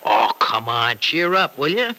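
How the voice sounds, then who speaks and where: An actor playing a chatty, teasing young farmer in a 1940s-style radio soap opera, on a noisy old recording. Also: male voice, medium pitch, big pitch swings, measured pace, pushed and bright, heavily acted. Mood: teasing, friendly.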